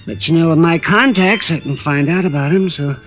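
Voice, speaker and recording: An actor playing a mean, whining, cowardly informer who grumbles in tough slang in an old radio detective drama. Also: male voice, a little high, a little fast, a bit bright, natural voice. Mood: sullen. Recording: dull old radio recording with music.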